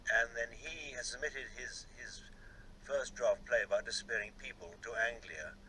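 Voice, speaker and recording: An older man reminiscing in an interview, halting and often repeating words. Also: mid-range voice, bright, forceful tone, slight background noise, rough recording. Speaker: television director being interviewed.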